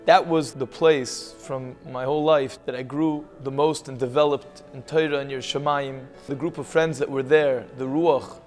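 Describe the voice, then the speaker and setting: A man's voice: medium pitch, fairly even intonation, normal speed, hardly any pauses. A man from the United States giving a personal testimonial. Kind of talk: promotional video testimonial. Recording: long reverb, clear music behind.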